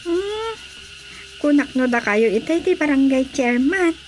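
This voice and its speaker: A woman's voice: high voice, expressive, measured pace, rough recording. A woman playing a polite, deferential young woman with a Filipino accent in radio drama dialogue. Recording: faint background noise.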